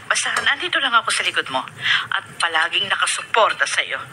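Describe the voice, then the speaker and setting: Bright, emotional Filipino mother. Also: on the low side, big pitch swings, slight background noise, full character voice, low-quality recording. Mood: tearful, proud. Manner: tender, emotional, halting. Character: tender, tearful, supportive mother of a new graduate. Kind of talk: Filipino radio drama acting.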